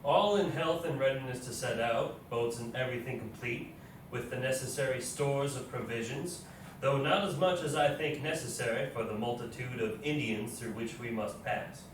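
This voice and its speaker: A man's voice: medium pitch, moderate pace, natural voice. Male actor as practical frontier captain in his thirties, journal-reading delivery for readers theatre; distant, heavily reverberant sound. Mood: matter-of-fact. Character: explorer William Clark, practical plain-spoken army officer in his thirties. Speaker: local stage actor. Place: live readers theatre performance in an echoey indoor space. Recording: very echoey room, distant mic, faint noise.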